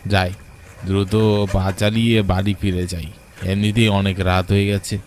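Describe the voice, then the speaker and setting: A man's voice: low-pitched, level tone, normal speed, a little hiss or hum, natural voice. A man playing a weary, frightened village rickshaw puller, telling a cartoon ghost story in an anxious, suspenseful, wondering way. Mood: weary, uneasy. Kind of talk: dramatic first-person horror story narration for a cartoon.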